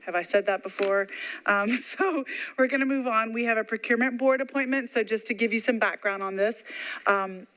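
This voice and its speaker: Upbeat woman running a public meeting, brisk, on a table mic. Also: fairly high pitch, fairly bright tone. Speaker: commission chair. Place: government meeting room. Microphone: table microphone.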